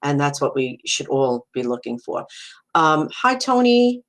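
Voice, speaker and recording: A middle-aged woman from Brooklyn speaks in a low voice with a clear Brooklyn accent. She is hosting a casual live stream and reassures her viewers warmly. Her phrases often restart, and she fills them with "you know.". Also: very animated, measured pace. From Brooklyn, New York. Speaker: psychic medium hosting a live video.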